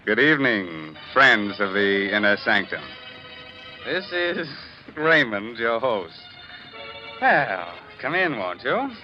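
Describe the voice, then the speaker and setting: An actor playing a slyly jovial, macabre host of a 1940s radio horror show, mock-polite and teasing. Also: male voice, lowish voice, big pitch swings, slow pace. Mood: sly, gleeful. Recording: dull 1940s radio recording with music.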